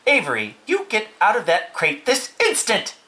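A narrator as a sharp, scolding farm mother. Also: medium pitch, huge pitch swings, normal speed, voice pushed up. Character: exasperated, commanding farm mother.

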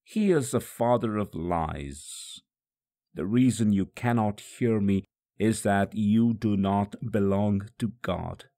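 Low gravelly man narrating solemn scripture. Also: slow pace, mellow, dry recording, clean studio sound. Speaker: audiobook narrator. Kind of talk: dramatic scripted first-person religious narration.

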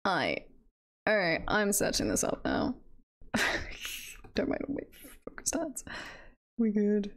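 A woman's voice: medium pitch, huge pitch swings, takes it slowly, pauses often. A woman chatting casually on a livestream in a giggly, playful, rambling way. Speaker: livestreamer, virtual avatar host.

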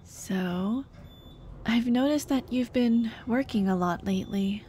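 A young woman voicing a dead girlfriend's caring, gently nagging ghost in an ASMR roleplay drama, tender and coaxing. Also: a little high, slow, a little hiss or hum. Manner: tender, coaxing, soft-hearted scolding. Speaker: roleplay voice actress.

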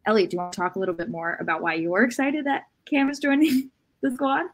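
A woman in her thirties co-hosting a sports podcast on a video call from home, with halting, hedged phrasing. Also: medium pitch, little pitch movement, soft background music. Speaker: podcast co-host. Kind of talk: casual sports discussion. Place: room at home, indoors.

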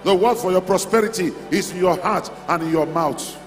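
Male charismatic preacher, higher-pitched, exhorting in repeated declarations, long hall reverb. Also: normal speed. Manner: exhorting, repetitive short declarations. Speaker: preacher leading a convention. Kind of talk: charismatic prayer-and-declaration sermon. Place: large hall at a church convention. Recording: echoey hall PA, music underneath. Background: music.

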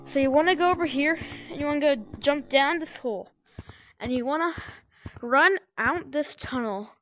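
A woman casually walking through a VR game tutorial on a headset mic, rambling and correcting herself, with sudden excited outbursts. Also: high voice, normal speed, slight background noise. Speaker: gameplay tutorial maker. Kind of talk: casual VR gameplay walkthrough.

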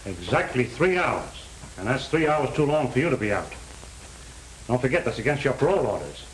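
A middle-aged parole officer, stern but fair, with a widely moving pitch, played by a male actor. It is 1930s Hollywood crime acting on a roomy, slightly hissy old soundtrack. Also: big pitch swings, fairly quick, close to an everyday voice. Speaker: film actor.

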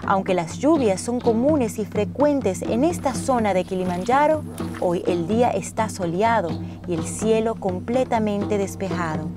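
A woman reading a scripted travel narration in the role of narrator and traveller. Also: mid-range voice.

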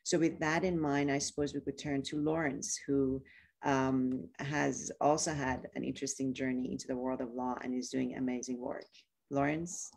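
A woman's voice: a bass voice, flat delivery, moderate pace, darkish tone. A woman hosting a webinar panel over a video call from home, searching for her phrasing. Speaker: webinar host, moderator. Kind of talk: moderating a panel. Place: home room.

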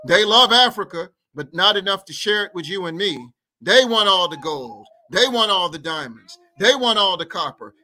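Forceful man preaching on a video call. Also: sits high, rough recording. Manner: rhythmic, repetitive oratory with long lists. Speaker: guest activist. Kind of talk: impassioned political speech in an interview. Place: indoor room at home. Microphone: headset on a video call.

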